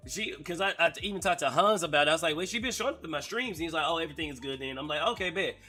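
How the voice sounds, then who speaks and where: A hoarse man hosting a casual live-stream chat, telling stories in an excitable, slangy way. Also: fairly high pitch, rapid-fire, soft background music. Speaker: streamer host.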